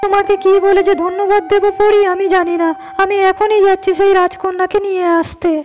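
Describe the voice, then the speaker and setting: A woman's voice: extremely high pitch, flat delivery, fairly quick, darkish tone. A woman voicing a humble, kind-hearted young shepherd in a storybook cartoon, speaking earnestly. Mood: determined. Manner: earnest, pleading.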